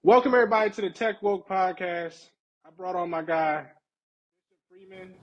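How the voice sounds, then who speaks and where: Guy hosting a podcast, voice a bit on the high side, super informal, short slangy questions. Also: normal speed, muffled recording. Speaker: podcast host. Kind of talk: casual interviewing.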